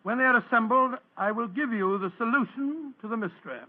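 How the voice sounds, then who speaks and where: An actor playing an earnest, fussy English doctor in an old radio drama, speaking formally and hesitantly with an English accent. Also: male voice, extremely high pitch, a little fast, soft background music. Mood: solemn. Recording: muffled narrowband old radio recording.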